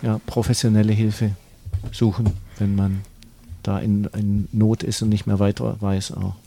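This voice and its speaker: A man's voice: fairly low pitch, little pitch movement, a little slow, creaky, warm tone. A man in a casual round-table discussion who explains his points and keeps adding tag questions. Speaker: discussion participant.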